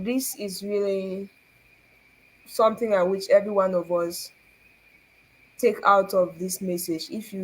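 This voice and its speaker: A woman's voice: mid-range voice, poor audio. A young Cameroonian woman hosting a faith talk, earnest and preachy, asking rhetorical questions and laughing often. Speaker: show host.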